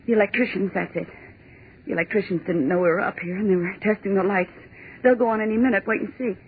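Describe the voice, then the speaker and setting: An actress playing a frightened young woman trying to stay calm and reasoning out loud, in 1940s radio drama style, on a tinny, scratchy old broadcast. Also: medium pitch, quick pace, lightly acted. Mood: forced calm. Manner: tense, insistent, clinging to reason.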